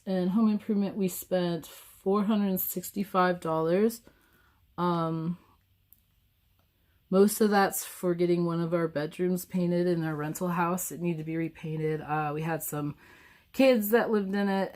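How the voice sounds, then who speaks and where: Raspy woman getting over a cold. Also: lowish voice, darkish tone. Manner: chatty, with frequent ums and restarts. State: hoarse from a cold. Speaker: budgeting vlogger. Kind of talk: casual budget walkthrough. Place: at home, filming a planner on a table.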